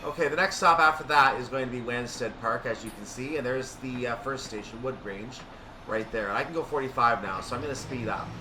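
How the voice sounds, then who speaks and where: A man's voice: medium pitch, a little fast, pushed and bright, recorded from a distance, a little hiss or hum. A man giving casual gameplay commentary on a train simulator, thinking aloud and correcting himself as he goes. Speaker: gaming video host.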